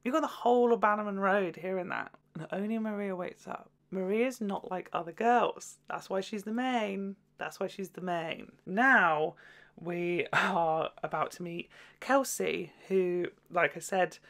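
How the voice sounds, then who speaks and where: A woman's voice: mid-range voice, unhurried, a bit bright. A woman hosting a casual, chatty video review, gushing and jokey with sweary asides. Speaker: video host.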